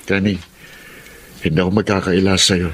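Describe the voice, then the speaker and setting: A man's voice: sits low, level tone, normal speed, fairly bright tone, a little hiss or hum. An actor with a Filipino accent playing an earnest, conflicted young man in a radio drama. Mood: earnest, firm.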